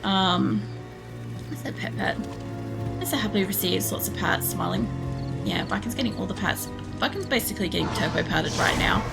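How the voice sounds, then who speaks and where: Hoarse gravelly woman streamer. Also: mid-range voice, animated, moderate pace. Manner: rambling, chatty, swears casually. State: hoarse, losing her voice. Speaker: game streamer. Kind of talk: casual chat while playing. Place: home room. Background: game music.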